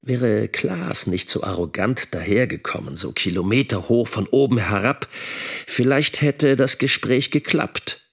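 A man playing a sharp, indignant middle-aged father and teacher narrating in a radio drama. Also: mid-range voice, measured pace, gravelly, slightly rough.

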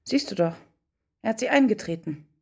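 An actress playing a wounded, defensive wife in a radio drama, answering curtly and evasively with a husky voice. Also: female voice, mid-range voice, lively intonation, a little fast. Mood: defensive, irritated. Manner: curt, evasive. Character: defensive, wounded wife under police questioning. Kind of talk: naturalistic radio drama dialogue.

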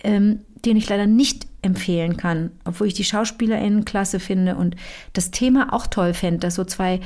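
A woman with a mid-range voice chats casually on a call with a close friend. She talks in a lively, rambling way and wanders from one topic to another. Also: medium pitch, normal speed. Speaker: comedian and co-host. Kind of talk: casual phone chat between friends.

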